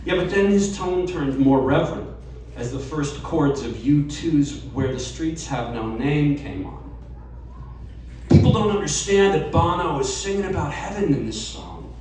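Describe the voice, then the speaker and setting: Guy reading a story out loud at a live event, earnest, mic kinda far off. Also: on the high side, big pitch swings, unhurried, slight background noise. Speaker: story reader. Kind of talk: live reading of a personal essay. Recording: echoey room, distant mic.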